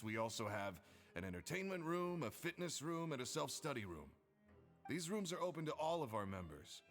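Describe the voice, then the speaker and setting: A courteous male guide in a video game. His voice is mid-pitched with lively swings, explaining helpfully over faint music. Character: polite, helpful male guide of a members' society. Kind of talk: video game character acting.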